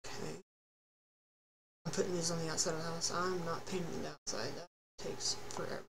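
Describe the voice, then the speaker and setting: A high-voiced young man in his late teens from Texas, chatting casually and rambling self-deprecatingly while streaming a game on a headset. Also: fairly even intonation, a little fast. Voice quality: high, youthful-sounding voice. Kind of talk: casual live-stream chat while playing. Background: ambient noise, thunder outside.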